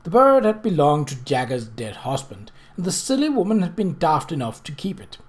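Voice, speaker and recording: A reader narrating a story aloud from a script in an expressive way, as in an audiobook. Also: medium pitch, normal speed.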